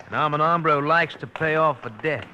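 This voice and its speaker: Sardonic outlaw gunslinger, sharp higher voice, folksy, unrepentant, vintage radio western, slight noise. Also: male voice, a little fast, highs cut off. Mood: grim, defiant. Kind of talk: 1950s radio western acting. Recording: slightly noisy old radio recording.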